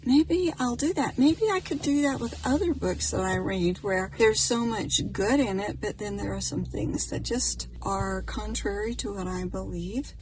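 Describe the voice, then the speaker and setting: A woman's voice: medium pitch, darkish tone. Musing American woman, noisy background. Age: middle-aged. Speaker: vlogger. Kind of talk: casual reflective vlog talk to camera. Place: outdoors in a park, partly in a parking lot.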